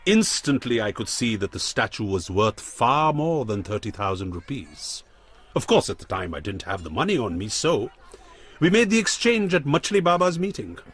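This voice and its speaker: A menacing radio drama villain with an Indian accent and a husky voice. His pitch swoops dramatically as he offers mock courtesy, pausing to taunt with smug relish. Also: sing-song, fairly bright tone. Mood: smug, confiding. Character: menacing, smug male crime boss with theatrical courtesy.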